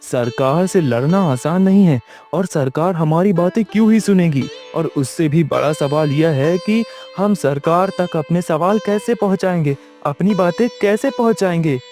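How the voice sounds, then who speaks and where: A man's voice: fairly high pitch, moderate pace, hardly any pauses, mellow, faint music behind, natural voice. A man playing an emphatic village character in a moral-story cartoon. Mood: worried, suspicious. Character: earnest farmer and curt dismissive official in a cartoon.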